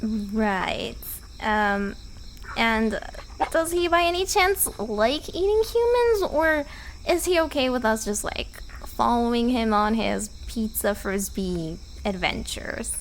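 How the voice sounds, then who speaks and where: A woman's voice: on the high side, animated, slow pace, slight background noise. A woman playing a nervous, wry visitor in an audio drama, rambling and hesitant. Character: nervous, wry human woman on a park tour. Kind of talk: fiction podcast audio drama acting.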